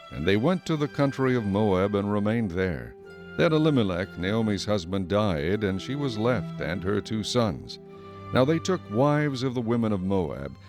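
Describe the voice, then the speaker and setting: Deep-voiced man narrating scripture. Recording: music playing behind.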